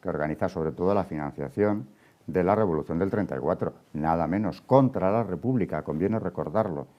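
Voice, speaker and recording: A man's voice: low voice, brisk, lo-fi sound. A man with an accent from Spain, explaining things as an expert guest, with emphatic asides. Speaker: history professor, expert guest. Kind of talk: explanatory interview answer.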